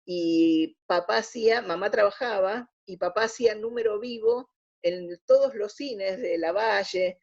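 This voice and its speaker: Female interviewee, 60s, Buenos Aires accent. Expressive, searching storyteller, mid-pitched, muffled lo-fi sound. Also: a little slow. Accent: Buenos Aires Spanish. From Argentina. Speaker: interview guest. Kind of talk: conversational reminiscing in an interview. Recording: dull lo-fi audio.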